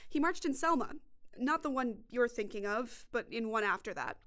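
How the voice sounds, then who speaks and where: A woman's voice: a little high, animated, moderate pace, a bit bright. A woman reporter reading scripted narration for a podcast documentary.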